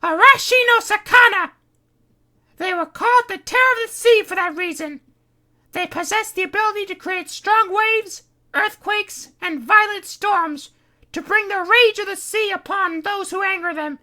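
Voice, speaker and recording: A woman's voice: moderate pace, sharp tone. A woman voicing a frantic young woman with claws who shrieks warnings. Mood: ominous. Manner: shrill, screaming warnings.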